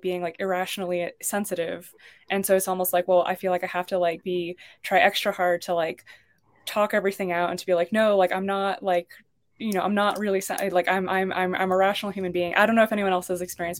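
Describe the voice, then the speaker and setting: A podcast panelist speaking casually and hesitantly, leaning on many fillers and self-corrections. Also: mid-range voice. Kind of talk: casual discussion.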